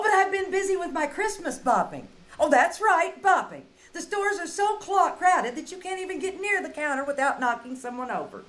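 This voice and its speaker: A woman's voice: very high voice, poor audio. A woman playing a chatty, scatterbrained wisecracking lady reporter in a theatrical 1940s radio comedy style. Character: chatty, dizzy wisecracking newspaper reporter and movie star woman.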